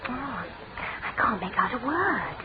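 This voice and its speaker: A thin, phone-like radio drama recording. a pert British secretary, proper and tart, her lines animated with dry wit. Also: fairly high pitch, unhurried, heavy background noise. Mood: wary. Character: pert, witty female detective's secretary.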